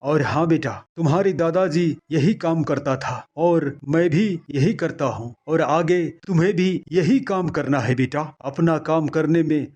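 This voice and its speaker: A man plays an older village farmer, a patient and firm father in a moral story. His voice sits fairly high for a man. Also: measured pace, few pauses, darkish tone. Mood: firm, persuasive. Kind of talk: acted moral-story dialogue.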